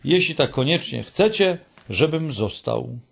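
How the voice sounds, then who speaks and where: A man's voice: on the high side, expressive, a little slow, vocal fry, slightly rough, exaggerated voice. A man voicing a cheerful, friendly, clueless male troll creature in an audiobook, with an eager, dreamy way of talking. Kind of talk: audiobook character voice.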